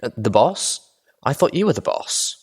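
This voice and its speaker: A man's voice: mid-range voice, big pitch swings, moderate pace, slightly rough, voice pushed up, full character voice. A man voicing a small young female unicorn adventurer, nervous and hesitant, in a storybook reading. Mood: puzzled. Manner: stammering, hesitant. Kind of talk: audiobook character dialogue.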